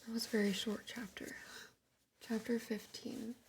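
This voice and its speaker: A woman whispering in a breathy voice, slowly reading a book aloud ASMR-style and chatting softly, close to the mic. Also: fairly high pitch, level tone, a little hiss or hum. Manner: soft, slow, reads aloud while greeting chat. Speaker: ASMR streamer.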